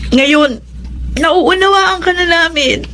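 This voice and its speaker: A young student sister with a high, girlish voice. She is plaintive, petulant yet affectionate, in radio drama style. Ambient noise is clearly audible. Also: slow, pushed and bright, full character voice. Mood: apologetic, eager. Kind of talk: Tagalog radio drama acting.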